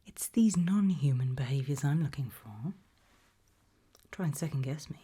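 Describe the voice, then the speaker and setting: A British woman whispering an ASMR roleplay as a creepy, probing examiner, asking questions slowly and deliberately with quiet playfulness. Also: extremely low pitch, expressive, husky. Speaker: ASMR roleplayer playing an interviewer.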